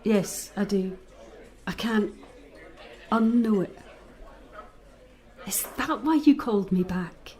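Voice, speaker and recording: A woman's voice: medium pitch, animated, slow pace, pauses often, a little mellow. A woman playing an anxious, caring young niece in an audio drama, earnest and tender in delivery. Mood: uneasy. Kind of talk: naturalistic audio drama acting.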